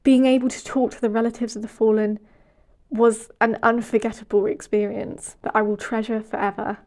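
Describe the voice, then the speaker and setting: A young woman hosting a podcast, reading a reflective scripted closing in a measured, earnest way. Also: a little high, little pitch movement, darkish tone. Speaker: podcast host and project researcher.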